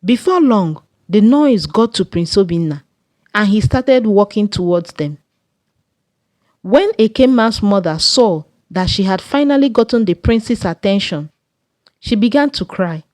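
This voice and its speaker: A woman with a Nigerian accent narrating a scripted folktale in a measured storytelling way. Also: medium pitch, lively intonation, darkish tone, no room sound.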